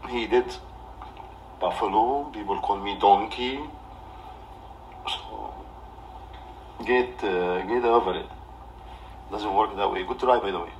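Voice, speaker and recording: Low-voiced Egyptian man, halting and defensive. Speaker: livestreamer. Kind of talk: off-the-cuff livestream rant to camera. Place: small living room indoors. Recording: distant mic with background noise.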